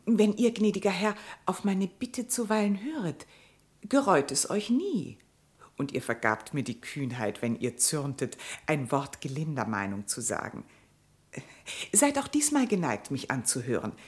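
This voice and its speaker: A clever, deferential ape lady at a royal court in a verse radio drama. Her fairly low voice swoops dramatically as she pleads with eloquence. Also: sing-song, measured pace. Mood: tactful pleading.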